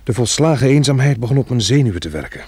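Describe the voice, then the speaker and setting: A man acting in a Dutch radio drama as a kind, protective survivor, with a gentle and reassuring manner. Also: medium pitch, animated, fast, husky, no echo. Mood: weary, reflective. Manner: gentle, reassuring toward a child. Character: kind, protective male survivor, lonely narrator of a catastrophe.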